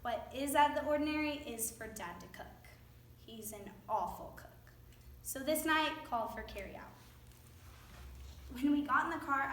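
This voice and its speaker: A woman's voice: on the high side, a bit bright, natural voice. A young woman playing a traumatized, guilt-laden teenage girl in a staged monologue. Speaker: student performer in readers theater. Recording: distant echoey stage recording, faint noise.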